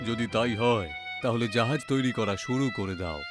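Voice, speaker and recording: A man voicing a responsible, insistent village headman in an animated story, firm and authoritative. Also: medium pitch, normal speed, close to an everyday voice. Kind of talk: animated moral story dialogue. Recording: loud music behind the voice.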